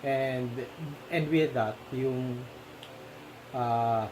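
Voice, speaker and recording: A man's voice: medium pitch, drawn-out words, noisy background. A man with a Filipino accent chatting casually about film, often searching for words as he switches between two languages. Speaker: movie discussion host. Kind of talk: casual film discussion in Tagalog-English mix.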